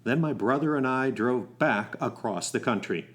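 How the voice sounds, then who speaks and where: A man's voice: mid-range voice, expressive, normal speed, fairly bright tone, natural voice. A man acting as a young driving instructor and soldier, telling his love story in a wry, folksy way for a radio play. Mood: wry, nostalgic. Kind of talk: first-person radio storytelling with acted dialogue.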